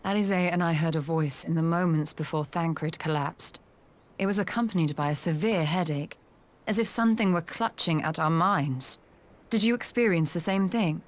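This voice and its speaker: Woman as earnest analytical youth, game cutscene, deep-set pitch, polite, composed, formal. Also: moderate pace, natural voice. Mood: earnest, concerned. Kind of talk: scripted video game cutscene acting.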